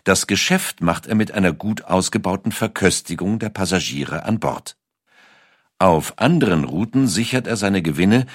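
A man narrates a scripted documentary in a very deep voice. His intonation moves with lively range, and the recording is dry and close. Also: dry recording.

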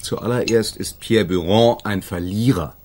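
Earnest, slightly husky male lawyer. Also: lowish voice, a little slow, darkish tone. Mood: insistent. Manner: earnest, self-justifying, banters back. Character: idealistic, proud male defence lawyer, defending underdogs. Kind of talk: naturalistic German radio crime drama acting.

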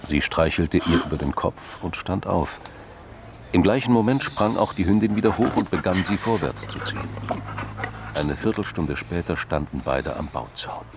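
A man's voice: sits low, expressive, moderate pace, vocal fry, noisy background. A man reading scripted narration in a crime radio play.

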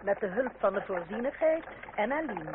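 An actress playing a refined, tender mother in a Dutch radio play, speaking gently and dramatically. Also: mid-range voice, animated, moderate pace, close to an everyday voice. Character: refined, ailing-seeming older mother, tender and sly. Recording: very noisy muffled old radio recording.

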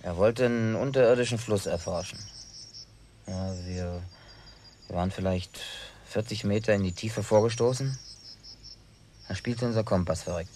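A man's voice: on the low side, normal speed, pauses often, a little mellow, a little hiss or hum, natural voice. An actor playing a stubborn, simple-hearted survivor in a radio play, telling his story in a colloquial, grumbling way with wry humor. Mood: casual, slightly irritated. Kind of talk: German radio play dialogue.

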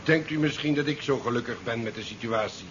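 An actor playing a curt, sardonic ship commander in a 1970s science fiction radio play. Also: male voice, mid-range voice, brisk, natural voice. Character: curt, sardonic male ship commander worried about career. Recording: muffled 1970s radio drama recording, faint noise.

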